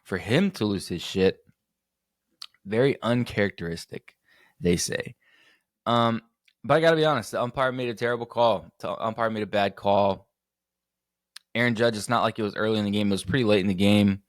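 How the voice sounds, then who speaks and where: A man with a slightly hoarse voice hosting a casual sports podcast, rambling and correcting himself as he goes. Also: fairly low pitch, a little fast, pauses often, no echo, studio quality. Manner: rambling, self-correcting, chatty. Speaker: podcast host.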